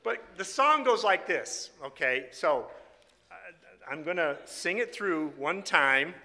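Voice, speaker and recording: Bright, high-voiced male preacher in echoing hall. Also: expressive, takes it slowly. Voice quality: slightly raspy. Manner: folksy, teasing, joking with the congregation. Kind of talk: informal sermon with congregational singing. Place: large reverberant room before a congregation. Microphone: microphone on a stand or PA. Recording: echoey hall with long reverb.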